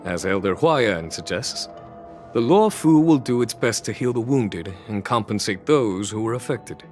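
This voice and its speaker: A man playing a composed, courteous commander in a video game, speaking in a measured, formal, thoughtful manner. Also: fairly low pitch, expressive, darkish tone, soft background music. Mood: measured, diplomatic. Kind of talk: scripted video game dialogue.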